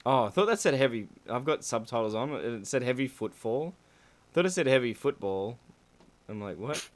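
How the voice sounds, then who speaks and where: A man's voice: mid-range voice, lively intonation, normal speed. A man co-hosting a livestream, chatting and laughing easily while watching a show. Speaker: streamer co-host. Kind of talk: casual watch-along chat.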